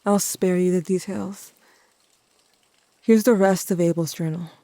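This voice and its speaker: A performer playing a young teenage narrator telling a haunted story in a measured, scripted audio-drama style. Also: mid-range voice, fairly even intonation, dark tone, no echo, lightly acted. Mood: solemn, haunted. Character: young Cain, grieving, watchful older teenage narrator.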